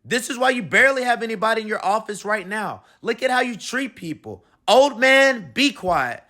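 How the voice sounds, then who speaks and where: A man voicing a sassy, fed-up woman who owns a beauty parlor, scolding fast in a picture book reading. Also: very high voice, animated, bright, forceful tone, voice pushed up, exaggerated voice.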